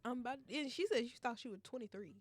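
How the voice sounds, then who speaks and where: A woman in her mid-twenties co-hosting a podcast, chatting casually and telling stories with lots of fillers and quick laughs. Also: on the high side, expressive, no echo. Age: 20s. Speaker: podcast co-host. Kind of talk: casual chat.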